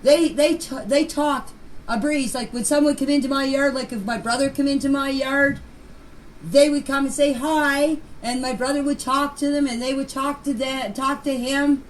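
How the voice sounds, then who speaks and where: High-voiced older woman, plain-spoken, noisy recording. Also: steady tone, normal speed. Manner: plain-spoken, folksy, repeats phrases. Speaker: grandmother recounting memories. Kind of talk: informal personal recollection. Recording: clear background noise, amateur voice clip.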